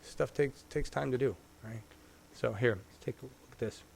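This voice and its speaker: A man hosts a casual live-stream, talking things through out loud. He rambles and restarts as he goes. His voice sits at an ordinary middle pitch. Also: normal speed, gravelly, a little hiss or hum. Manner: rambling, chatty, thinks out loud. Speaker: show host.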